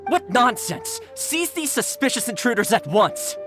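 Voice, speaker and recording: A man's voice: extremely high pitch, huge pitch swings, unhurried, music in the background, full character voice. A man voicing a stern, dutiful, suspicious soldier guard in a video game, speaking formally. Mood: angry. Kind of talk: video game cutscene voice acting.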